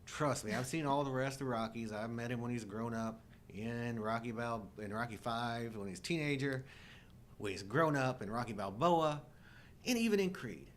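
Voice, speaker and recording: A middle-aged man co-hosting a live show, chatting casually about movies with wry, jokey exaggeration. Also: moderate pace. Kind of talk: casual humorous movie-discussion chat. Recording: noticeable low rumbling background noise.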